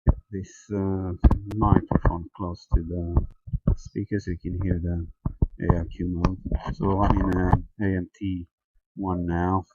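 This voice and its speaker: A man giving a casual hands-on tutorial narration, halting and thinking aloud as he goes. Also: low-pitched, little pitch movement, a little mellow, breathy edge, dry recording. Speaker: amateur radio video tutorial presenter. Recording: dull, lo-fi close sound.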